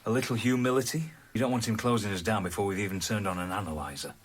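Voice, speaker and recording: A man's voice: fairly low pitch, quick pace, lightly acted. An actor playing a wry, practical male technician in a radio drama.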